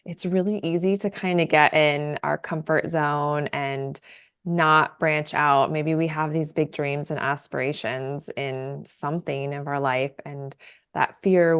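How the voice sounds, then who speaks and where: Enthusiastic woman host with a deep, smooth voice. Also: level tone, slow. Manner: enthusiastic and upbeat. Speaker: podcast host, Pilates instructor. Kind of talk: casual podcast interview.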